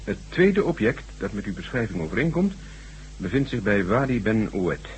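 An actor playing an obliging, principled chief computer programmer in a radio drama, measured, courteous and confiding. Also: male voice, lowish voice, sing-song. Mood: businesslike. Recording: noisy, humming old radio recording.

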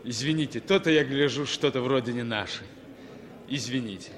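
A man's voice: mid-range voice, normal speed, in a large hall, a little hiss or hum, close to an everyday voice. An actor playing a naive, friendly young male theatregoer, eager and apologetic, in a 1960s radio play. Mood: puzzled, apologetic. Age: young adult. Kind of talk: 1960s radio drama acting.

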